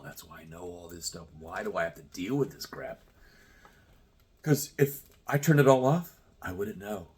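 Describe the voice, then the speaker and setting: A man's voice: medium pitch, breathy edge. A man in his sixties delivering a profane, confrontational rant straight at the listener. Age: 60s. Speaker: commentator. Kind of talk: ranting monologue.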